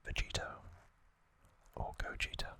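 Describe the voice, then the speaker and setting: A man hosting ASMR, whispering trivia narration in a breathy, unvoiced voice with soothing, deliberate short phrases. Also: bright, forceful tone. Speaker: ASMR host. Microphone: close microphone.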